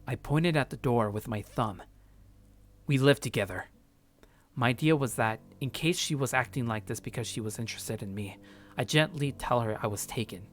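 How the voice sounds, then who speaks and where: A man's voice: mid-range voice, moderate pace, faint music behind, close to an everyday voice. A man playing a paranoid, sardonic young man narrating his journal in a visual novel, curt and brooding. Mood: tense narration.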